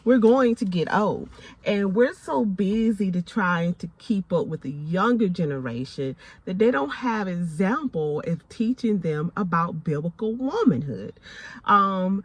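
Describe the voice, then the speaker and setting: A woman in her forties with a Southern American accent speaks to camera in an emphatic, preacherly way. She repeats phrases for stress and calls the listener honey. Her voice is mid-pitched, and the recording is close and dry. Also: animated, unhurried, dry recording. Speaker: faith and lifestyle vlogger. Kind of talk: casual faith talk to camera.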